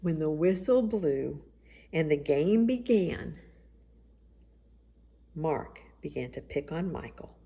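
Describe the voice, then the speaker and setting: Slow, low-pitched woman narrating a story. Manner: expressive, deliberate. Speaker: storybook reader. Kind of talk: scripted children's story narration.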